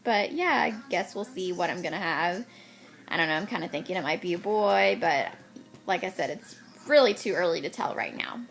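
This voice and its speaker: A woman's voice: lowish voice, expressive, normal speed, creaky. A woman in her twenties chatting casually to the camera, rambling with many ums. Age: 20s. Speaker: vlogger.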